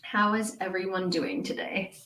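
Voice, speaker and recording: A woman's voice: mid-range voice, fairly even intonation, moderate pace, bright, forceful tone, roomy sound, low-quality recording. A young woman from Ukraine chatting casually on a live stream and reading viewers' messages, giggling often and trailing off into fillers and restarts.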